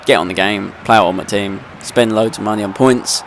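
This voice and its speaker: A man's voice: fairly low pitch, fairly even intonation, brisk, slight background noise. A young man with a slight cold, ranting in casual gameplay commentary and swearing freely. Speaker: gaming video host.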